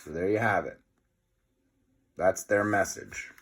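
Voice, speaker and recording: A man reading a children's book aloud in an expressive, deliberate way, with short explanatory asides. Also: deep voice, husky. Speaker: storybook reader.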